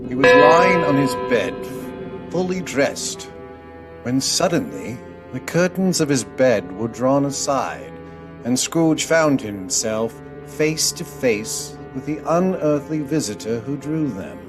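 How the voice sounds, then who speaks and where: A male narrator tells a story in a radio play. He reads slowly in a mid-pitched voice, and instrumental music plays clearly behind him. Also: animated. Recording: music under the voice.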